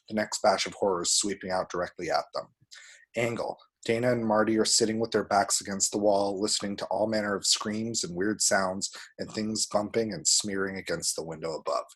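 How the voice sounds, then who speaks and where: A man with a husky voice reads screenplay action descriptions aloud as a narrator. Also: lowish voice, low-quality recording. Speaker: table-read action narrator.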